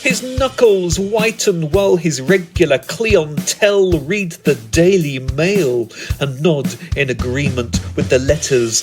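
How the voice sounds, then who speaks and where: A British man delivering scripted comic poetry as a spoken word performer, with a deliberate, theatrical and wry manner. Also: on the high side. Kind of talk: scripted comic poetic narration.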